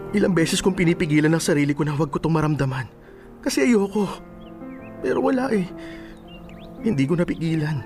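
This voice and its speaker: A remorseful Filipino lover in an emotional radio drama. His voice sits fairly high and crackles with fry as he pleads haltingly and earnestly, over soft music. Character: remorseful man pleading for his ex-love's forgiveness. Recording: music under the dialogue.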